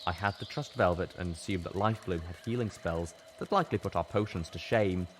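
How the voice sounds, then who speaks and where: Male audiobook narrator, lowish voice, dramatic first-person fiction, some background noise and ambience. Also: expressive, a little fast. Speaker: story reader.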